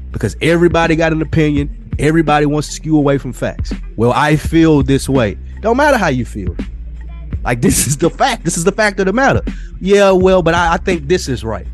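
Emphatic man with a higher-pitched voice. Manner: emphatic, slangy, swearing freely. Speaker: podcast co-host. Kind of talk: casual opinionated chat.

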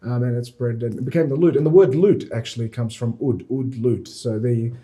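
A man raised in Australia, a musician guest explaining his instrument in a relaxed, explanatory interview manner. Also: mid-range voice, fairly quick, slightly rough. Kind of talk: interview conversation.

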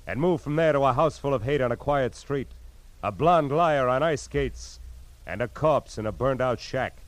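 An actor playing a world-weary private detective, wry and terse, in a 1940s radio drama. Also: male voice, mid-range voice, natural voice, poor audio. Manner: wry, terse, tough-talking. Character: hard-boiled world-weary private detective, kind to kids. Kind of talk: 1940s radio drama, first-person noir narration. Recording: vintage radio recording with music behind.

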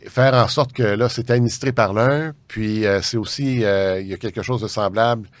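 Low-voiced man with a Canadian French accent. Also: normal speed, no room sound. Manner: hesitant, circling back mid-sentence. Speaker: tax ombudsman interviewed as guest. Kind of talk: unscripted explanatory interview talk.

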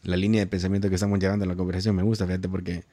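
A man with a Honduran accent hosting a casual conversation, slangy and halting as he repeats words while thinking. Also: low voice, little pitch movement, warm tone, dry recording. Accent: Honduran Spanish. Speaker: podcast host.

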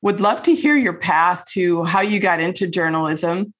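A woman's voice: on the low side, moderate pace, fairly bright tone. A woman hosting a business podcast, reading a polished intro and then interviewing a guest. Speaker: podcast host.

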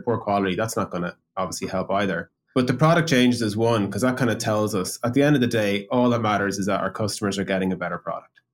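Man with a slightly low voice, tech executive on a business podcast, chatty and informal, explains through examples. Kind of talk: conversational business discussion.

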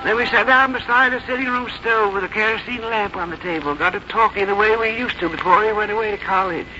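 A woman's voice: medium pitch, fast, over music, lightly acted. An actress playing a plain-spoken, strong-willed mother in her seventies in a 1950s radio drama, with a folksy, firm way of talking. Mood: fond reminiscing. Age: 70s. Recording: dull, noisy old radio broadcast recording.

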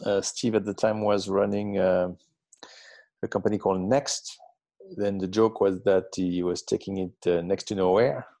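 A French-accented man in his fifties with a low, gravelly voice talks in halting bursts, full of ums and restarts. The sound is dry and close, with no room around it. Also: flat delivery, dark tone, no echo. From south of France. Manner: hesitant, many ums and restarts. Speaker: guest, tech company founder. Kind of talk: interview conversation.

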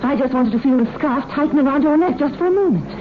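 Casting: menacing murderess in old radio thriller, high register, clipped commands, tinny hissy sound. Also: female voice, animated, fast talker. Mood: menacing, triumphant. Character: cold, deranged female strangler holding a man at gunpoint. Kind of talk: 1960s radio drama acting.

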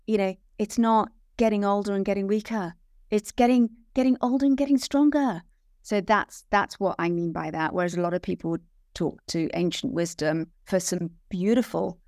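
A woman's voice: on the low side, vocal fry, a little mellow, no room sound, clean studio sound. A middle-aged woman with a Welsh accent, speaking conversationally as an interview guest, often repeating phrases for emphasis. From Wales. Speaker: podcast guest.

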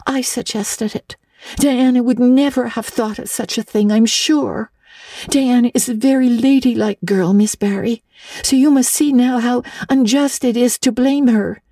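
Earnest, high-pitched little orphan girl. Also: moderate pace, warm tone, no echo, studio quality. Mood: earnest pleading. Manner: breathless, stammering, talkative, earnest. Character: eager, earnest, imaginative orphan girl, pleading politely.

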